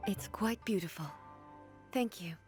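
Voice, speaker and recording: An actress voicing a solemn, regal immortal female shogun in a video game, speaking formally and in a measured, stately way. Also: medium pitch, music in the background, natural voice. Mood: composed.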